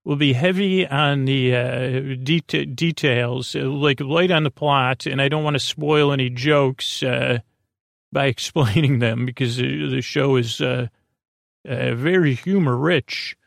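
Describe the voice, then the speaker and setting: A man with a creaky voice hosting a bedtime podcast, meandering gently and hesitantly, often interrupting himself in a soothing way. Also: medium pitch, unhurried, no room sound, clean studio sound. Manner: soothing, gentle, soft-spoken. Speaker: podcast host. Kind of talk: rambling bedtime podcast monologue.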